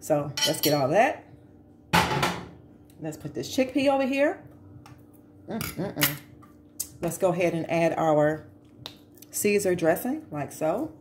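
A woman's voice: on the low side, lively intonation, takes it slowly, long pauses. A middle-aged American woman chatting informally to her viewers in a casual cook-along vlog, recorded in a home kitchen on a camera a short distance away. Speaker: vlog host. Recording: echoey room with background noise.

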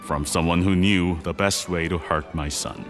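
A man playing a powerful, composed older businessman and father in an audio drama, speaking in a measured, formal way. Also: low voice, steady tone, faint music behind. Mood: grave. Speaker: actor in a podcast drama.

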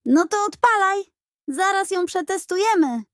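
A woman acts out an eager little boy in a children's audiobook, high and breathless. He is wide-eyed and enthusiastic, a younger brother thrilled by everything, heard in a dry recording. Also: animated, moderate pace, no echo.

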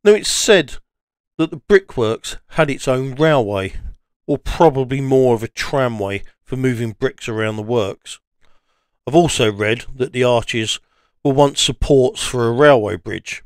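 A man narrates an explanatory voice-over in a smooth, crisp voice of medium pitch. The recording is dry and close, with no room sound. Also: a little slow, dry recording. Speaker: presenter of a canal exploring series.

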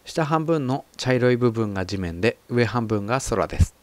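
A man calmly walking through the instruments of a small plane's cockpit in a methodical voice-over. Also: mid-range voice, normal speed, no room sound. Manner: calm, methodical, explanatory. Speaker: flight instructor explaining cockpit. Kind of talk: explanatory voice-over narration.

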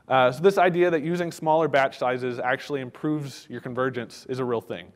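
A man giving a technical conference talk in a casual, halting way, with many fillers and restarts. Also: mid-range voice, steady tone, long reverb tail. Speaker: conference speaker. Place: conference hall.

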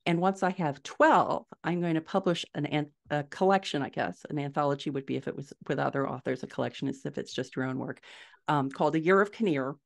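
A middle-aged woman with a low voice speaks as a guest in a conversational interview. She explains her points step by step and leans on the key words. She is recorded over a video call from a room at home. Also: fairly quick, gravelly. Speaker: podcast guest, indie fiction author. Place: small furnished room at home, indoors.

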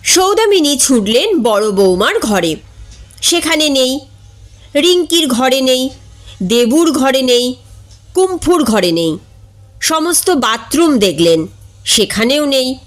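A woman reading a comic short story aloud in an expressive, dramatic way. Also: high-pitched, slow pace, long pauses. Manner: expressive, acts out characters' dialogue.

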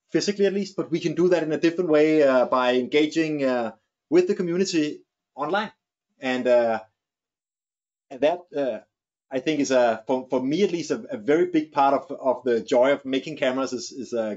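A man's voice: mid-range voice, fairly quick, darkish tone, lo-fi sound. A man in his forties with a Danish accent, explaining camera features in a webinar, often restarting his phrases. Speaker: camera company expert. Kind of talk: webinar discussion. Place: studio with large screen.

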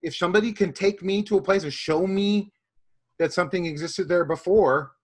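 An earnest, deadpan flat-earth believer, played by a man, who lays out wild theories in a halting, patient way. His voice sits a little high. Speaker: guest. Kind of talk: improvised comedy character.

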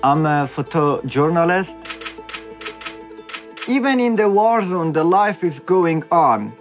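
Reflective Afghan man, high voice. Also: animated, soft background music. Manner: reflective, halting. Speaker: photojournalist. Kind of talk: documentary interview. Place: indoor studio room.

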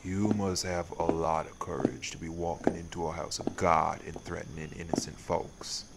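Guy voicing an old southern ghost, deep n creaky, folksy drawl, fed up and stern, audio rp. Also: steady tone, moderate pace, very noisy. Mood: stern, weary. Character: weary old male wraith, folksy protector. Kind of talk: dramatic audio roleplay acting.

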